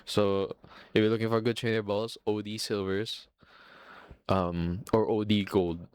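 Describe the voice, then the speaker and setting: A young man with a Filipino accent hosts his own podcast, rambling loosely from one thing to the next and swearing constantly in a self-mocking way. His voice sits a little low. The sound is dry and close, with no room around it. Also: little pitch movement, measured pace, darkish tone, clean tone, no room sound. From Singapore. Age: young adult. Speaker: podcast host. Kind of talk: casual solo podcast rambling.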